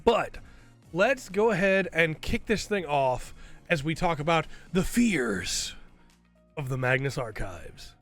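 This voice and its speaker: A man's voice: on the high side, lively intonation, slow pace, fairly bright tone, faint music behind. A man hosting a casual commentary video while he has a cold, rambling and often tagging his points with "right?". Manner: rambling, tags lines with "right?". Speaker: video host.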